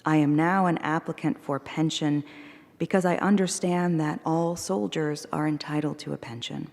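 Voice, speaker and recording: Low, slow woman reciting poetry. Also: gravelly, a little mellow, in a large hall. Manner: measured, deliberate recitation. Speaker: poet. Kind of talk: poetry reading. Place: performing arts center hall.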